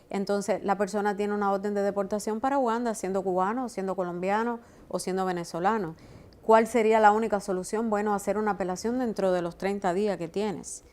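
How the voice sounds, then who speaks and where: A woman's voice: mid-range voice, darkish tone. A woman immigration lawyer explains legal procedures in a mid-range voice. She talks steadily and often agrees with the host in short replies. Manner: explanatory, affirming with repeated "exacto". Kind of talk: expert interview.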